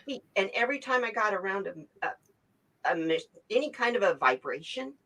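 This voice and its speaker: A woman guest in a casual video interview, telling her story in an animated way and laughing often. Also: medium pitch, moderate pace, poor audio.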